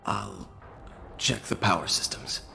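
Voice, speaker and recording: An actor playing a loyal male alien assistant, full of awe, with a gravelly voice in a sci-fi video game. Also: fairly low pitch, expressive, slow pace, pushed and bright, full character voice. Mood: tactful. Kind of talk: cinematic video game voice acting.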